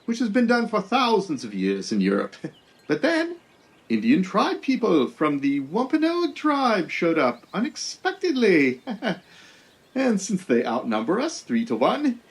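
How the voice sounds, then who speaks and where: Guy doing a pilgrim governor, deadpan and blunt, voice swinging high and low. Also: unhurried, heavily acted. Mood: amused, matter-of-fact. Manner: matter-of-fact, darkly deadpan. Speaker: comedy skit actor. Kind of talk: scripted comedy sketch.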